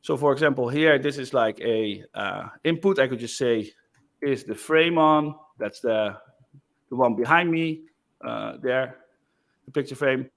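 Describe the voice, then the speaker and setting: A man giving a casual screen-share demo of software, loose and chatty with frequent fillers. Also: mid-range voice, a little slow, creaky. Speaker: software developer presenting.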